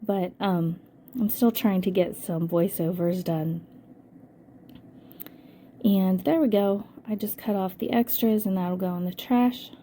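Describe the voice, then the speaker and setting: An older woman with a hoarse voice from a cold, casually narrating a scrapbooking voice-over and thinking aloud with frequent ums. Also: mid-range voice, steady tone, a little slow, pauses often, dark tone, slight background noise. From United States. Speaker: scrapbooking channel host.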